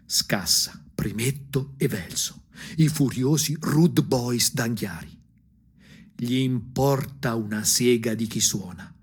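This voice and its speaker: A man with a Tuscan accent and a husky voice performs an ironic, angry, emphatic theatrical monologue for a punk radio drama. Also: medium pitch, slow pace. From Anghiari, Tuscany, Italy. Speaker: stage actor and storyteller. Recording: music under the voice. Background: music.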